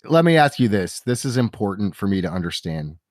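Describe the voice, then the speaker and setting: A man's voice: lowish voice, sing-song, fairly quick, no room sound, studio quality. A man narrating a tabletop role-playing game as game master, with theatrical storytelling and playful slang. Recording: music playing under the voice.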